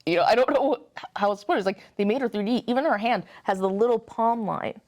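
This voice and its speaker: A woman co-hosting a review in a casual, expressive, gushing chat style. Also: a little high, fast. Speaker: toy review co-host.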